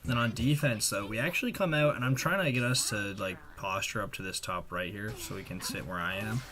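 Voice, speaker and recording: A man's voice: lowish voice, fairly quick, pushed and bright. A young man giving casual, slangy voice-over commentary on gameplay in a loose play-by-play way. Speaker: gaming video creator. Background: game music and effects.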